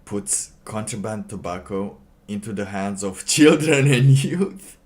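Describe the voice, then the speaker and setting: A man doing casual livestream commentary, reading aloud and reacting in a halting, sardonic way, often repeating his phrases. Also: lowish voice, lively intonation, a little mellow. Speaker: livestream host.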